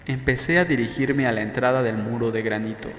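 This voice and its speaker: A man's voice: medium pitch, steady tone, a little fast, fairly bright tone, soft background music. A man narrating a novel in a scripted audiobook style, with a reverberant sound and faint noise behind. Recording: echoey, long reverb, faint background noise.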